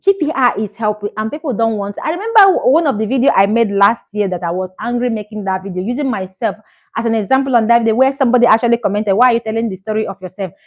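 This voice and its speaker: A woman's voice: on the high side, fast talker, dull audio. A Nigerian woman in her thirties chatting earnestly to camera as a vlogger, encouraging and repeating herself for emphasis. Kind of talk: casual chat to camera.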